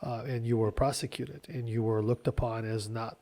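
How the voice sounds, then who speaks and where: A man, a guest speaking in a measured, explanatory way. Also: mid-range voice, steady tone, dark tone. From Ukraine. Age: 40s. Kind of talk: casual podcast interview.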